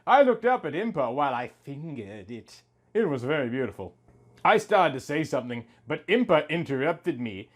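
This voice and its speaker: Theatrical male story reader, swooping pitch. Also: sing-song, moderate pace. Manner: exaggerated, dramatic emphasis. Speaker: host reading amateur fan fiction. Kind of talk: theatrical mock-serious story reading.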